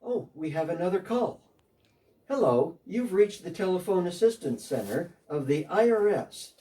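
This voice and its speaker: Defensive chatty tax guy on the phone, a man doing the part, lively up-and-down voice, a bit far from the mic. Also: a little high. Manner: one side of a phone call, defensive and talkative. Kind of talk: church dramatic reading.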